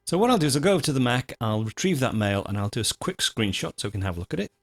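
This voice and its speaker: A man presenting a software tutorial, talking informally and methodically through each step as he goes. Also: mid-range voice, sing-song, rapid-fire, no room sound, clean studio sound. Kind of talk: screencast tutorial, unscripted step-by-step narration.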